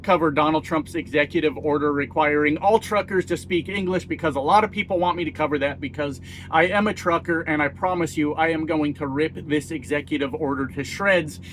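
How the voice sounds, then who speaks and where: A man's voice: a little high, brisk, a bit bright, a little hiss or hum, low-quality recording. A middle-aged American man giving blunt, casual political commentary, folksy and self-mocking, swearing freely. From United States. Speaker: YouTube commentator.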